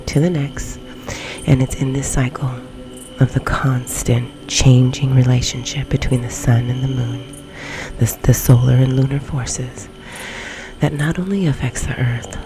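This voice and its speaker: A woman leading a guided meditation in a soft, breathy, often near-whispered ASMR delivery, with long pauses between phrases. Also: very deep voice, fairly even intonation, poor audio. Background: background music.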